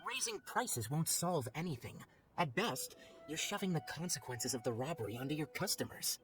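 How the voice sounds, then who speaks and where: A man's voice: fairly high pitch, wildly swooping pitch, moderate pace. A man voicing a businesslike young forest watcher in a video game, speaking in a matter-of-fact, curt way. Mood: questioning. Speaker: voice actor in game dialogue. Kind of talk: scripted video game voice acting. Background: game background music.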